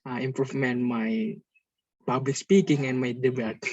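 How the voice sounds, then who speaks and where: A man's voice: mid-range voice, steady tone, normal speed, darkish tone. A young man with an Indonesian accent speaking hesitant learner English as a student, searching for words. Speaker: student in an online English class. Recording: muffled lo-fi online call audio.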